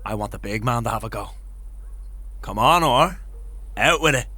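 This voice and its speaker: A man's voice: mid-range voice, animated, normal speed, bright, forceful tone, husky, dry recording. A man playing a brash, foul-mouthed, teasing drinking companion in a fantasy audio drama, theatrical and goading. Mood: goading, playful.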